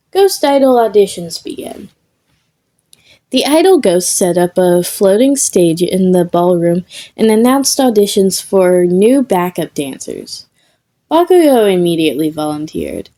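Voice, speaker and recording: Female narrator, scripted comic storytelling, leisurely pace, warm dark tone. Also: medium pitch, very animated, measured pace. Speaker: podfic narrator.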